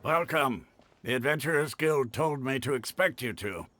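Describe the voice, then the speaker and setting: An actor playing a frank, hearty older retired mercenary advisor in a video game, plain-spoken. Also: male voice, mid-range voice, expressive, moderate pace, a bit bright, close to an everyday voice. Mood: welcoming, hearty.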